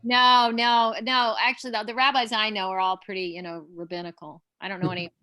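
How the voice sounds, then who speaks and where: A woman's voice: medium pitch, level tone, a little fast, bright, forceful tone, clean tone, dry recording. A woman playwright leading a casual discussion over a video call, eager and encouraging, often restarting her sentences. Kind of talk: casual online talkback.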